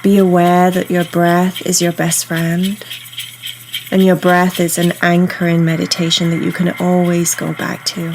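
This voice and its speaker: A woman guiding a meditation in a soothing, unhurried, instructional way, leaving pauses between phrases. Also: lowish voice, monotone, slight background noise, rough recording. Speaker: meditation guide. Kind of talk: guided meditation narration. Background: soft ambient meditation music.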